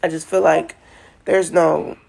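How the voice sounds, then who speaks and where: Woman, about thirty, low with vocal fry; casual rambling vlogger, emotional. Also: little pitch movement, unhurried, darkish tone. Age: 30s. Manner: emotional, rambling, tearful at times. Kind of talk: casual vlog chat.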